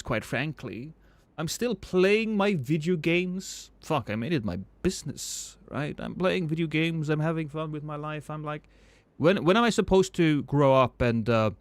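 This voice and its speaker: A man in his thirties chatting casually and profanely with viewers on a live stream, rambling and joking at his own expense. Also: dry recording. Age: 30s. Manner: rambling, self-mocking, profane; swings between loud excited bursts and low mumbles.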